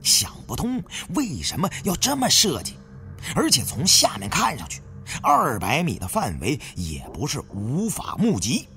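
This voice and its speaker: A man's voice: a little high, wildly swooping pitch, measured pace, sharp tone. A man narrating a first-person adventure audiobook in a dramatic, suspenseful, theatrical manner. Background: faint background music.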